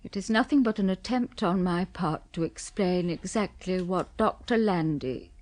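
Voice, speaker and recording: An actress with a British accent playing an anxious, polite new widow in a 1970s radio drama. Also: female voice, fairly low pitch, normal speed, darkish tone, lightly acted. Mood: reading gravely.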